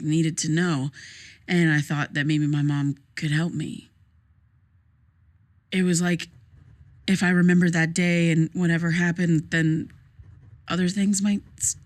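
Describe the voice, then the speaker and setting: An actress playing a troubled, grieving young woman in a naturalistic audio drama, speaking in a hesitant, halting, casual way. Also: deep voice. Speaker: therapy client in audio drama.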